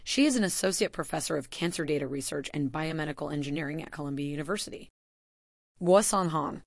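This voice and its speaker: A woman with a low, deep voice reads a formal announcement in an even, measured way. The sound is close and dry, with no room around it. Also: creaky, dry recording. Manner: even, formal read-aloud delivery. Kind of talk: scripted announcement narration.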